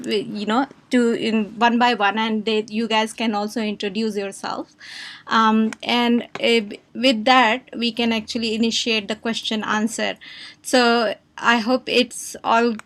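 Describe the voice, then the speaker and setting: A woman with a fairly high voice moderates a panel over a video call. She speaks haltingly, with frequent "um"s and restarts as she introduces the session. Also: fairly bright tone. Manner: halting, many fillers and restarts.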